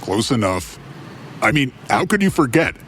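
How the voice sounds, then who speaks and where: An actor playing a bored, sarcastic nightclub doorman with a gruff, deadpan, dismissive manner in a comedy audio drama. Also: mid-range voice, normal speed, a little hiss or hum. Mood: exasperated.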